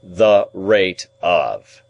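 A man's voice: fairly low pitch, sing-song, a little slow, exaggerated voice, poor audio. A man voicing a domineering, shrewd middle-aged lawyer in an audiobook, with an emphatic, interrogating and pedantic delivery. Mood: stern, probing. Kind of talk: audiobook character dialogue.

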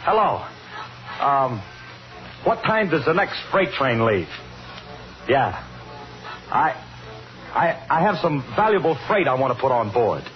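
A muffled old radio recording with music underneath carries a desperate, bitter musician on the run. His pitch swings widely as he stammers, pleads and shrugs at fate in slangy 1950s suspense style. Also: big pitch swings, slow pace, pauses often. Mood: anxious. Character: cornered, bitter male dance musician fearing a lynch mob.

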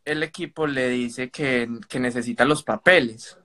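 A man's voice: mid-range voice, normal speed, pushed and bright. A man with a Colombian accent, speaking as a caller in a casual, polite, somewhat hesitant and roundabout way. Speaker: caller on a live stream. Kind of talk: casual spoken account.

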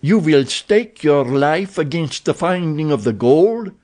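A man voicing a scornful, sneering male captor who speaks slowly and loudly. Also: a little high, expressive, voice pushed up. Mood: wary.

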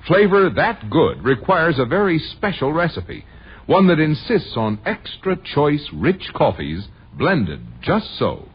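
A man delivering a polished 1950s radio advertisement as an announcer, with emphatic, deliberate phrasing. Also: on the high side, very animated, husky. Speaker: commercial announcer. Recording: muffled old radio recording.

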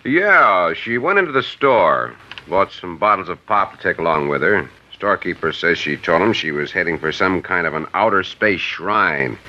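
Brief: a relaxed small-town sheriff's captain, very deep and lively, old dull radio sound. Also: male voice, normal speed, exaggerated voice. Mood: easygoing. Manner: relaxed, folksy. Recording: dull hissy 1950s radio recording.

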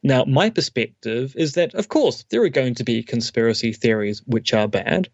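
A man's voice: medium pitch, normal speed, darkish tone, dry recording, lo-fi sound. A man, a philosophy academic, explaining his views at length in an interview with dry humour. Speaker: guest academic, philosophy professor.

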